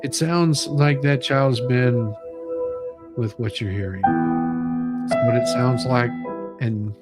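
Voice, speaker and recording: A man reading documents aloud and commenting on them in a halting, deliberate way. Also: medium pitch, steady tone, loud music behind the voice. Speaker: commentary show host.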